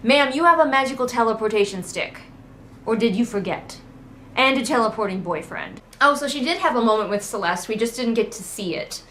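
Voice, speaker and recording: A woman's voice: a little high, expressive, a little fast, fairly bright tone. Female book-critic host, casual and sarcastic, exasperated mocking delivery, faint background noise. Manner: exasperated, mocking asides and acted-out quotes. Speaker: book critic hosting a readalong. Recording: noticeable background noise, train outside. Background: ambient noise, passing train at times.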